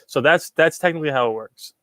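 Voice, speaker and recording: A man's voice: a little high, fast, fairly bright tone, no room sound, clean studio sound. A young man from Puerto Rico hosting a casual livestream, reading chat questions and answering them in a rambling way, with repeated words and many ums.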